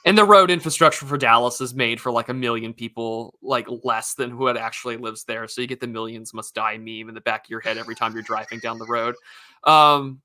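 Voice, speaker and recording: A man's voice: medium pitch, fairly quick, pushed and bright. A man hosting a podcast, thinking aloud in a casual chat with restarts and wry asides. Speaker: podcast host.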